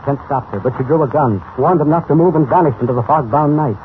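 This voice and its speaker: A man's voice: a little high, fast, a little hiss or hum, natural voice. A man narrating a 1940s radio serial in a formal, suspenseful, dramatic style, on a tinny old recording. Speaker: announcer, narrator.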